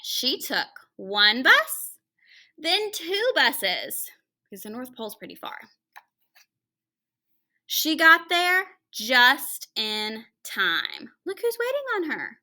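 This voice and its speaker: Animated, high-pitched woman reading a children's story. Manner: animated, with dramatic pauses and hushed asides. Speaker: teacher reading a picture book aloud to children. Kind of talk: expressive children's story read-aloud with conversational asides to listeners.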